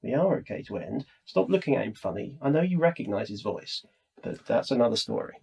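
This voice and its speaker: A man's voice: medium pitch, big pitch swings, a little fast, vocal fry, a little mellow, slightly rough, low-quality recording. A man with an English accent hosting an informal interview in a chatty, jokey way. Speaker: podcast presenter. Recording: ambient room microphone.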